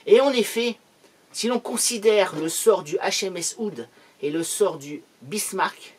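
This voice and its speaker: A man tells a military history lesson with a husky, somewhat breathy voice. His delivery is emphatic and declamatory, leaning hard into key words as he narrates. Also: fairly high pitch.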